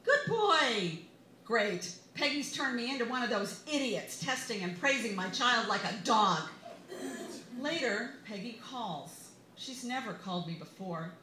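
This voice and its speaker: An American woman reads her memoir aloud at a live event in a bar. Her delivery is expressive and wry, at a middle pitch. The room is echoey, with some background noise. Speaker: author reading aloud.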